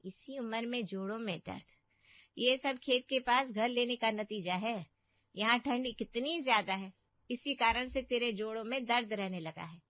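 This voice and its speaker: Woman acting nagging elderly aunt, high voice, boastful, scolding, cartoon family drama, dull dry recording. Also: moderate pace, dry recording, lightly acted. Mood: critical, boastful. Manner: scolding, complaining, exclamatory. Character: boastful, nagging elder aunt, fault-finding older sister. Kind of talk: Hindi cartoon family story dubbing. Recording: dull, muffled studio voice track.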